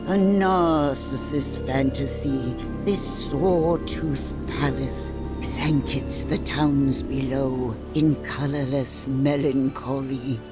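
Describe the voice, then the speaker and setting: A man playing a sly, theatrical narrator of a dark fantasy tale, speaking with grandiose, deliberate relish. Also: fairly high pitch. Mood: ominous. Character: sly, ominous fantasy storyteller, theatrical and teasing. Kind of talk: dramatic scripted storybook narration.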